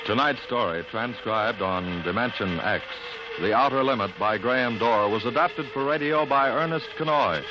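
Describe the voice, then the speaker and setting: Male announcer, declamatory vintage radio style, middle pitch, clearly noisy old recording. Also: normal speed, few pauses, dull audio. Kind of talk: scripted 1950s radio announcing.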